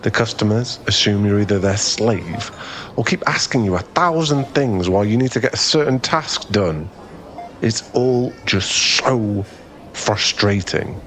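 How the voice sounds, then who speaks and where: Guy playing a lowish-voiced young boyfriend type, asmr roleplay, chatty n kind, hesitant, gets flustered easy, bit of background noise. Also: expressive, a little slow, rough recording. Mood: exasperated. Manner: hesitant, rambling, self-effacing, soft-hearted. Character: friendly, easily flustered muscular young man, chatty in queue.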